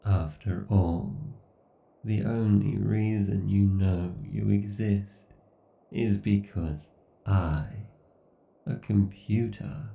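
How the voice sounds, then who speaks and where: A cruel, grandiose AI overlord, acted by a man, speaks in a low-pitched male voice with a gravelly creak. Every phrase is drawn out extremely slowly with obsessive, gloating menace, as in a horror roleplay. The sound is echoey, muffled and set back from the mic. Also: steady tone, distant mic, heavily acted. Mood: cold, taunting. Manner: slow, deliberate, menacing, grandiose. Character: obsessed, sadistic, gloating male AI god villain. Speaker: voice actor. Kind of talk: horror ASMR roleplay monologue.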